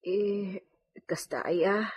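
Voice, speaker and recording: A woman's voice: mid-range voice, fairly even intonation, slow, a little mellow, breathy edge. A woman playing a nervous, evasive housemaid in a radio drama, speaking hesitantly with an Ilocano accent. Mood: meek, flustered. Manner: hesitant, stammering, flustered.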